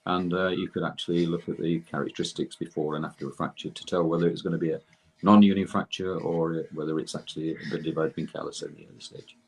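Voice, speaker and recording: An older man explaining technical matters as an interview guest, in a halting way with repeated words. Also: low voice, steady tone, a little hiss or hum. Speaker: guest expert and researcher in electrotherapy.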